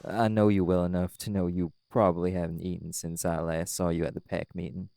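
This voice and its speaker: Man playing deep, folksy were-dog alpha. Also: level tone, fairly quick, gravelly, no echo. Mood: gentle coaxing. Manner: gentle, reassuring, folksy. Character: calm, caring male were-dog pack alpha, folksy protective friend. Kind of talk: audio roleplay acting, intimate comforting talk.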